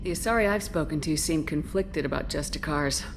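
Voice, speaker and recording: A composed space commander in a video game. Her low voice is direct and probing, with plain, controlled delivery over clear background ambience. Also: fairly quick, background noise. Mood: curious, composed. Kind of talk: dramatic game dialogue.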